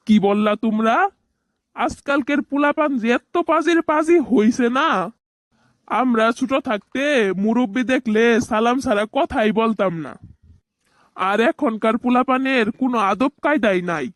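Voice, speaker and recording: A man's voice: extremely high pitch, unhurried, fairly bright tone, dry recording. A man voicing a grumbling, easily offended older village uncle in a cartoon, scolding in colloquial speech. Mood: indignant scolding. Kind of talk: cartoon voice acting.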